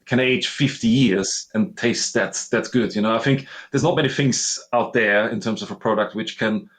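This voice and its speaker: A man in his thirties with an Austrian accent speaks as an interview guest in a somewhat low voice. He tells his story in an earnest, reflective way. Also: moderate pace, fairly bright tone. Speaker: guest, master sommelier. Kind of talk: conversational interview.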